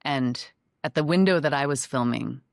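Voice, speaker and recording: A woman from Hong Kong, a documentary filmmaker, answering interview questions in a thoughtful, measured way. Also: extremely low pitch, creaky, dry recording, studio quality. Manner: thoughtful, measured, frequent "you know".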